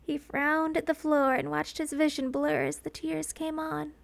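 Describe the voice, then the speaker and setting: A woman narrates in a very high register. She reads scripted fan fiction as a storyteller, with a dramatic delivery. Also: little pitch movement, a little slow, gravelly.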